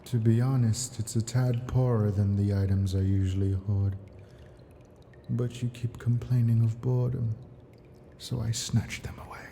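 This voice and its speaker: A man playing an ancient, possessive and doting dragon in a slow, intimate ASMR audio roleplay, speaking in an unhurried, lordly, soft way. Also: lowish voice, fairly even intonation, creaky, slight background noise. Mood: smug, doting. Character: ancient male dragon, possessive, doting, wounded hoarder of treasures.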